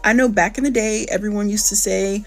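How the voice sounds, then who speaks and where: A woman's voice: medium pitch, steady tone, a little fast. A woman giving earnest, confident fashion advice in a vlog.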